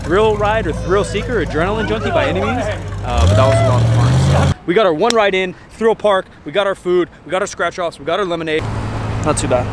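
A man's voice: on the high side, very noisy. A man in his thirties from Northern California reviews fair food in a casual, jokey vlog, swearing now and then, filmed outdoors on a camera mic held close. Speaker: vlogger. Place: outdoor state fair, crowd and rides around.

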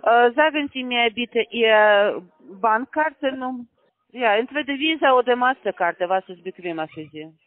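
A man as a phone scammer, speaking halting, broken German patiently and repetitively on a phone call. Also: very high voice, expressive, dry recording. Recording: tinny phone line.

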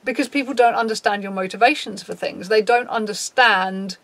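Raspy-voiced woman, frank and sweary. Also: normal speed, a bit bright, no echo. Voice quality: low and raspy. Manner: frank, swears freely, reads out a list and reacts. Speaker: YouTuber. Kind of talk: casual talk to camera. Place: small dim room, indoors.

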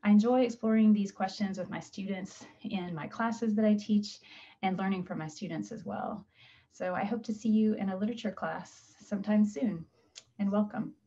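A woman English professor introducing her research from a room at home over a video call, measured and thoughtful with soft fillers. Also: medium pitch. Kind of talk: faculty self-introduction. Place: home room with paintings and map. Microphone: video call webcam. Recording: dull, muffled webcam sound.

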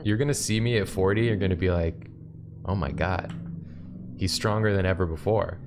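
A man's voice: low voice, moderate pace, noisy background. A man in his thirties chatting casually on an art livestream, emphatic and slangy. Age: 30s. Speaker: streamer.

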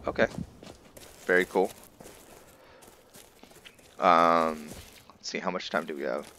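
A man giving roleplay game commentary, musing and pausing often as he talks. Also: low-pitched, steady tone, noisy background. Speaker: gameplay video host. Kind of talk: roleplay game commentary with some reading aloud.

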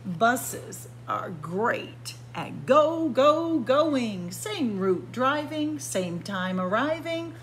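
A woman storyteller reading a picture book aloud to young children in an expressive sing-song way, drawing out and stressing words. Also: on the high side, very slow, few pauses, slight background noise. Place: library room with bookshelves, indoors.

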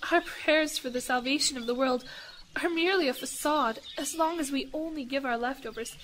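High-voiced, devout lady missionary. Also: brisk, slight background noise. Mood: earnest, humble. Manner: earnest, gentle, formal phrasing. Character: devout, earnest, humble lady missionary in India. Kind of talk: audio drama acting.

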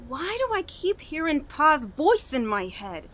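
Radio horror lead: unhinged, guilt-ridden murderess, high sharp voice, halting confession, some background noise. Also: female voice, very animated, exaggerated voice. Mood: anguished, brooding. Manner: dramatic, halting, broken by manic laughter. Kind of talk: old-time radio horror drama monologue.

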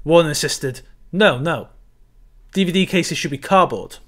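A man, co-hosting a casual film chat over a video call with quick asides. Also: a little high, a bit bright. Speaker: podcast co-host. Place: home room on a video call.

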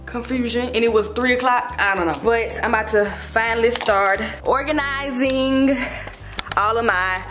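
A woman's voice: fairly high pitch, normal speed, few pauses. A young American woman chatting casually and directly to her viewers in an informal, slangy way, as a vlogger. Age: young adult. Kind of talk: casual chat to camera. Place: indoors, mostly a home kitchen. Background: music.

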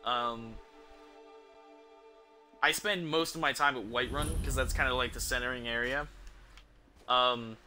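A man's voice: mid-range voice, fairly even intonation, measured pace, long pauses, sharp tone. A young adult man giving casual, rambling gameplay commentary as a streamer, indoors at home. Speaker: game streamer. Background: game music.

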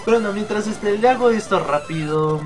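A man's voice: sits high, fairly quick, a crowd in the background. A man with a Mexican accent, slightly sick, giving casual, rambling, hesitant gameplay commentary with some mild swearing. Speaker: let's play gamer. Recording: game music behind the voice. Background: video game music.

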